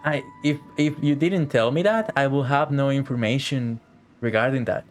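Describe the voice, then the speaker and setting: Guy from costa rica streaming a game, rambly n jokey, swears a bit, quiet music under. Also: medium pitch, moderate pace. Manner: rambling, joking, swears casually. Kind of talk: casual live stream chat.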